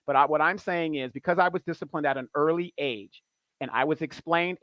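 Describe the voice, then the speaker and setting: Animated man on a dull, dry line. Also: fairly high pitch, brisk, no room sound. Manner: animated, repetitive, emphatic. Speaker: guest. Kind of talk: casual opinion talk.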